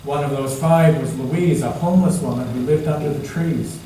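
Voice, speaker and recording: Male storyteller, slightly high-pitched, dramatic picture-book read-aloud with emphatic pauses, in a very reverberant hall. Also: dark tone. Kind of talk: expressive read-aloud storytelling for all ages. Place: large indoor church space, heard from a distance. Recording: echoey church hall, distant mic, faint noise.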